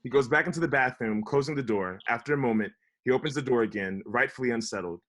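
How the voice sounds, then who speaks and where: Guy narrating a script read, regular mid pitch, casual table read thing. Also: fast talker, fairly bright tone. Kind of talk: reading screen directions, then casual chat.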